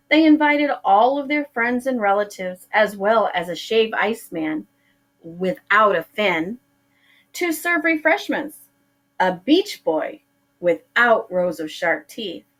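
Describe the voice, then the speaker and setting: A middle-aged woman teacher reading a picture book aloud to her pupils, drawing out words for effect. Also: mid-range voice.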